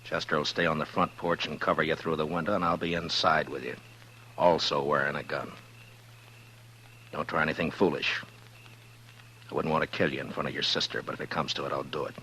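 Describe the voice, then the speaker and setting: Old time radio western marshal, american, real low hard voice, stern n weary, short measured lines, bit of hiss. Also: male voice, steady tone, sharp tone, full character voice. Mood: stern, firm. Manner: terse, measured, authoritative. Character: stern, steady, world-weary lawman of an Old West town. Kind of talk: 1950s radio western acting. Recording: noisy vintage radio recording with hum.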